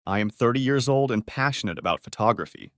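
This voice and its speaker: A man teaching beginner English, reading short model sentences clearly and saying each one twice. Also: mid-range voice, expressive, no room sound, clean studio sound. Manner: says each phrase twice, clearly and deliberately.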